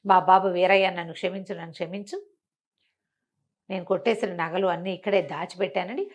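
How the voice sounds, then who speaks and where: A woman's voice: fairly low pitch, fairly even intonation, a little fast, natural voice. A woman voicing a cowardly male thief who poses as a ghost in a folk tale. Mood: frightened, pleading.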